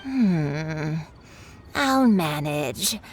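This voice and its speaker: A woman voicing a kindly, shrewd old granny kite seller in a video game, with a gentle, grandmotherly manner. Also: deep voice, lively intonation, very slow, a little hiss or hum, exaggerated voice. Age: older. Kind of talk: video game character acting.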